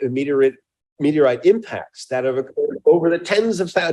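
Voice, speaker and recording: Man, scientist interviewee, explanatory, emphatic, halting delivery, repeated words. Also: fairly high pitch, darkish tone.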